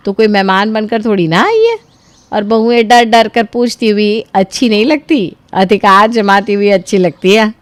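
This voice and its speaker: A woman's voice: medium pitch, no room sound, lightly acted. An older mother-in-law from a cartoon family story, acted by a woman. She is generous and indulgent, reassuring with a mild scold. The sound is dry and close.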